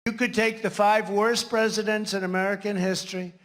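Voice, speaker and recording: A man making a forceful, rhetorical political speech, heard in a clip. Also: high-pitched, level tone, brisk.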